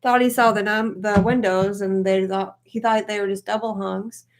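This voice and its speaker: A woman's voice: mid-range voice, steady tone, normal speed. A woman in her thirties co-hosting a casual live question-and-answer chat, speaking in a blunt, informal way. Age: 30s. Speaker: co-host of a trade channel.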